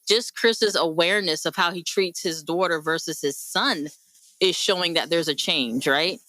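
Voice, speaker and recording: Bright-voiced woman hosting a discussion. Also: fairly low pitch, moderate pace, dry recording, low-quality recording. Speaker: host; mental health professional. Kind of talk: radio panel discussion.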